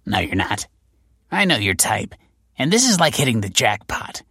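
A man's voice: mid-range voice, big pitch swings, moderate pace, pushed and bright, slightly rough, dry recording, pitched above the performer's own voice, full character voice. A male narrator voicing a wry, world-weary female detective in an audiobook, with a dry, sardonic manner. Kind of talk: audiobook character voice.

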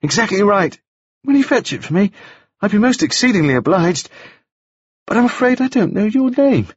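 A feverish, wounded young gentleman with a high, very bright voice. He is prim and overly courteous even while dazed and muddled. The sound is dull and dry, with a breathy edge. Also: normal speed, no echo, exaggerated voice.

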